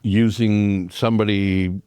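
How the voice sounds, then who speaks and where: A man on a community radio show talking about horror films, halting and restarting as he thinks. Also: on the low side, level tone, warm tone, clear, resonant tone, dry recording, studio quality. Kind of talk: casual radio chat.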